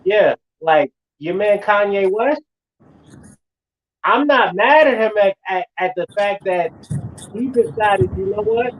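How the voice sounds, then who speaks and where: A man's voice: sits high, lively intonation, slow pace, poor audio. A man in his forties talking casually, repeating phrases for emphasis as he makes his point. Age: 40s. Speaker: host. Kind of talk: casual chat.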